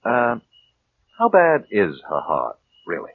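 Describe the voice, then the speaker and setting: Sly flattering suitor guy, lowish voice that slides all over, vintage radio play. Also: slow pace, phone-quality sound, exaggerated voice. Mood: insinuating. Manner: ingratiating, insinuating. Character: smooth-talking, scheming, flattering suitor and weak writer. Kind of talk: 1940s-50s radio drama acting. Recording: band-limited old radio broadcast.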